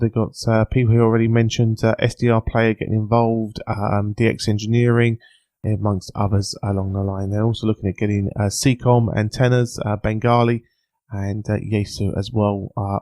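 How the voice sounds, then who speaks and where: A British man hosting a podcast in an informal, halting way, with frequent filler sounds as he presents and interviews. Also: fairly low pitch, creaky, warm tone, no echo. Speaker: podcast host and news presenter.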